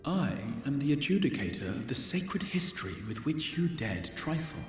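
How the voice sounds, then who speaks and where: An actor playing a solemn, regal guardian of an ancient tower in a fantasy game, speaking in grandiose, archaic phrases. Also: male voice, on the high side, very animated, normal speed. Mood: imperious, grave. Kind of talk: scripted fantasy game dialogue. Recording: echoey hall reverb under orchestral music.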